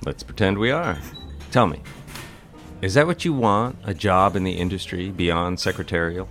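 Man playing a deep-voiced charming young bachelor. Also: moderate pace, fairly bright tone, faint music behind. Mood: earnest, tender. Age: young adult. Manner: smooth, teasing, courteous. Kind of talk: period romantic audio drama acting.

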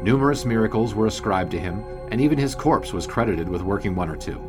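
An actor playing a wry 1920s sportswriter, narrating an audio drama in a wordy, grandiose storytelling manner. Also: male voice, lowish voice, animated, quick pace, over music, close to an everyday voice. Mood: measured, storytelling.

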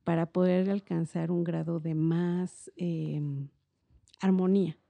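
A middle-aged Mexican woman talks casually and reflectively, circling around her ideas. Her voice is gravelly and soft-edged, recorded dry and close. She explains in an informal, wandering way. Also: on the low side, fairly even intonation, takes it slowly, no echo. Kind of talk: casual conversational explanation on a podcast.

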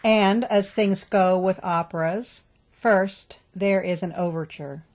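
A woman's voice: mid-range voice, fairly even intonation, slow pace, darkish tone. A woman announcer giving scripted narration for a radio play.

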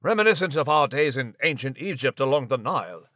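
A man voicing a dapper, cheeky British gentleman mouse with posh, wordy, old-fashioned manners in an audio comedy. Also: mid-range voice, expressive, fairly quick, no echo, studio quality, natural voice. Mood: amused, teasing. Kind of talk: comic audio character acting.

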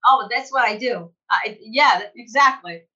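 A woman school director telling her story in an interview, animated and conversational, laughing easily. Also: fairly high pitch, a little slow, dull audio. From United States. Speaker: executive director of a language school.

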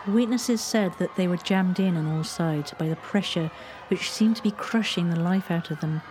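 A woman's voice: fairly low pitch, moderate pace, background noise. A woman reading scripted historical narration as a storyteller.

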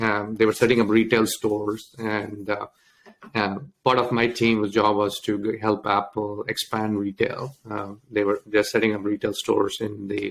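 A man from India, an interview guest, telling his life story in a halting way with many fillers and restarts. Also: lowish voice, fairly bright tone, poor audio.

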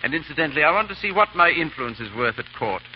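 A man's voice: fairly high pitch, big pitch swings, quick pace. A man playing a languid, flippant aristocrat, urbane and affected, in a 1930s radio drama. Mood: airy, flippant. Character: foppish, languid English aristocrat husband, lightly flippant. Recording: dull, faintly noisy 1930s radio recording.

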